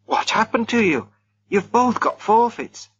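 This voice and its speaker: A man voicing an excitable young man who calls out loudly. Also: high-pitched, unhurried, husky, dull audio, pitched above the performer's own voice, heavily acted. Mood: excited.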